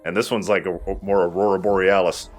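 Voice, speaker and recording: Male gameplay host, deep register, casual rambling banter with swearing, faint music behind. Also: level tone, fast talker. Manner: rambling, profane, joking. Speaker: let's play host, playing the game. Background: game soundtrack music.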